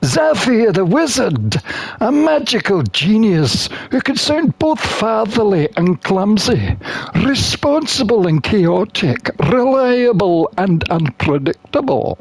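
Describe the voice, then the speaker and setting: Gamer reading a story game aloud: slow pace, very varied pitch, dry sound. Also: big pitch swings, slightly rough, no echo, low-quality recording. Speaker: gamer playing and narrating. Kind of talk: reading a visual novel aloud, voicing characters.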